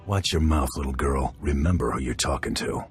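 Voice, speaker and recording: A very deep, cold bounty hunter. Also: male voice, brisk. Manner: terse, cold, threatening. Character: grim male bounty hunter, curt and menacing. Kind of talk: video game dialogue. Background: faint game music.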